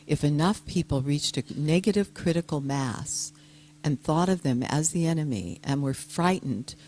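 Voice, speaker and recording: Older woman, soft-spoken, gentle, low voice, unhurried talk to an audience. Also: slight background noise. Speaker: guest speaker. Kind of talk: heartfelt personal talk to an audience.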